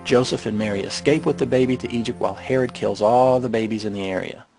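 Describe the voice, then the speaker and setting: A man delivering casual, irreverent scripted commentary in a sarcastic, mocking way, with a gravelly, husky voice. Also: fairly low pitch, quick pace, over music, poor audio. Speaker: narrator of a skeptical commentary on the Bible.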